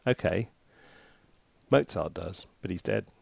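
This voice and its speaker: A man's voice: low voice, animated, slow pace, creaky, darkish tone, no echo. A man giving a casual think-aloud music tutorial in a playful, self-deprecating, chatty way. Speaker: composer presenting a music software tutorial and review. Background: orchestral sample music.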